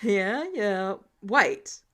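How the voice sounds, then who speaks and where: A woman's voice: mid-range voice, drawn-out words, sharp tone. Woman as a flippant, childish billionaire genius man. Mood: mock regret.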